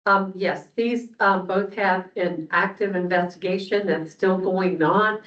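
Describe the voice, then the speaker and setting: Muffled echoey mic, woman commissioner talking slow and halting, formal meeting remarks. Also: mid-range voice, a bit bright. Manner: halting, deliberate. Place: public commission meeting room. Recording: roomy, distant, muffled meeting audio.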